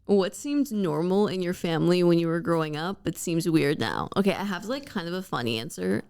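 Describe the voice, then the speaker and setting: A woman's voice: lowish voice, lively intonation, a little fast, gravelly, a little mellow, clean tone. A woman in her twenties co-hosting a podcast, chatting casually and swearing freely, with lots of "like" fillers. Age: 20s. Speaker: podcast co-host. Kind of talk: casual chat.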